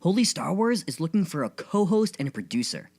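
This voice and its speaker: A man's voice: fairly high pitch, sing-song, a little fast, a little mellow. A man hosting a podcast, reading scripted narration in an earnest, carefully enunciated way. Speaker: podcast host.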